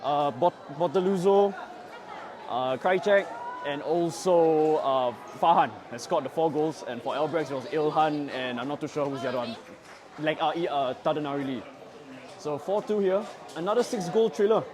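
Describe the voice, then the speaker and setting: A man losing his voice, chatting excitedly on a phone outside a football stadium after a match. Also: fairly high pitch, normal speed, crowd noise. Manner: excitable, rambling with fillers. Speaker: football vlogger. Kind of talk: casual post-match vlog. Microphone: handheld phone with clip mic.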